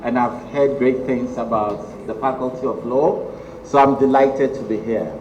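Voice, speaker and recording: A man's voice: mid-range voice, steady tone, darkish tone, lo-fi sound. Ghanaian man lecturing in an echoey hall. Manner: measured, with frequent ums. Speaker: guest lecturer. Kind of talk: public lecture. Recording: echoey hall, faint noise.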